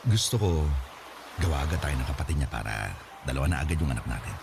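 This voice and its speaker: A man's voice: rumbling low, lively intonation, fast, gravelly, background noise, heavily acted. A Filipino man acting a devoted, earnest and playful fiancé in a radio drama. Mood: loving.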